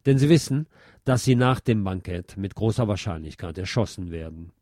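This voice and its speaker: A man presenting a scripted film review as a radio host, measured and articulate in his delivery. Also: on the low side, dark tone, dry recording, clean studio sound.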